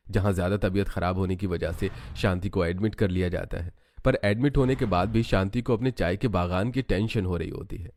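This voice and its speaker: Male narrator for scripted animated tales, lower register, slight background noise. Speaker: story narrator. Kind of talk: scripted cartoon story narration.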